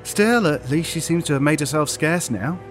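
An actor plays a concerned male shop colleague in an audio drama. His voice is a little high and lively in its rise and fall. He comes across as helpful and conciliatory. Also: moderate pace, soft background music, natural voice. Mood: reassuring. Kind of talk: naturalistic audio drama acting.

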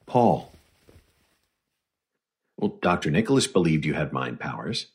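A man's voice: a bass voice, big pitch swings, husky, exaggerated voice. A man playing a patient, probing doctor who conducts a recorded interview in an audio drama. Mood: speculative. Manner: measured, gently prodding, clinical.

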